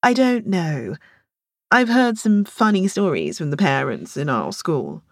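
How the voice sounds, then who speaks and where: A woman's voice: on the low side, sing-song, no echo, studio quality, exaggerated voice. A woman voicing a mild, easygoing woman who muses among her friends in an audiobook. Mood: doubtful. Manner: unhurried remarks to no one in particular.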